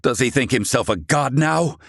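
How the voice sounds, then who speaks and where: A man acting a proud, gruff, middle-aged inventor in a fantasy video game, with formal, haughty, theatrical diction. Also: medium pitch, moderate pace, fairly bright tone, dry recording, clean studio sound. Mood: stern, scornful.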